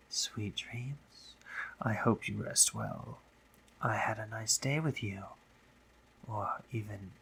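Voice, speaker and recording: A man playing a teasing, dominant, courtly spider monster in an intimate audio roleplay, theatrical and breathy, often dropping into whispers. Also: fairly low pitch, sharp tone, a little hiss or hum, heavily acted, low-quality recording. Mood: mocking, teasing. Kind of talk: intimate scripted audio roleplay, addressing the listener.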